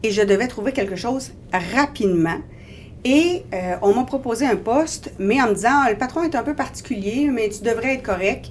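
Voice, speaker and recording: A woman's voice: fairly high pitch, lively intonation, brisk, a little mellow, slight background noise. A woman in her forties with a Québécois French accent, a guest in a radio interview, explaining her experiences in an earnest, reflective way. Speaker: guest author.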